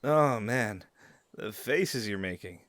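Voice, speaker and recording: A man's voice: fairly low pitch, expressive, takes it slowly, fairly bright tone, no echo. A man acting a playful, bold young werewolf in an audio drama. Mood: teasing.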